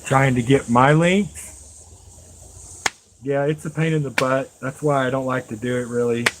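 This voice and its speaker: A man's voice: medium pitch, steady tone, moderate pace, a little hiss or hum, rough recording. A middle-aged man chatting casually and folksily to live stream viewers as he works with his hands, rambling along as he talks to them directly. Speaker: live streamer and knife maker. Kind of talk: casual live stream chat while working.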